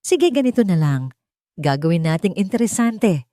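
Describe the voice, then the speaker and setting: A woman's voice: mid-range voice, sing-song, normal speed, dark tone, no echo, studio quality, natural voice. Woman voicing an arrogant, mocking Filipino rich man. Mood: taunting, boastful. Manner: mocking, condescending. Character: arrogant, cruel young rich man taunting a waitress.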